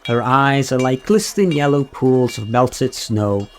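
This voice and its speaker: A man's voice: mid-range voice, expressive, measured pace, lightly acted. A man playing an earnest, muddled underling to a knight in a comic radio play, hesitant, literal and rambling. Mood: dreamy.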